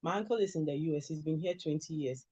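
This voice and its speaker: A Ghanaian woman in her thirties speaks with a Ghanaian accent, giving calm, measured legal advice. Her voice sits close and dry. The recording is slightly dull and lo-fi. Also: low voice, dry recording. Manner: measured, advisory, clear. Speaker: immigration lawyer guest. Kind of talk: interview answers. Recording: dull lo-fi sound.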